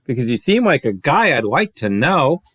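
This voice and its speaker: A man's voice: mid-range voice, big pitch swings, moderate pace, fairly bright tone, slightly rough, dry recording, clean studio sound. A man voicing a mangy old male crow from a children's story, reading in a squawky, teasing, raised voice. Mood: friendly.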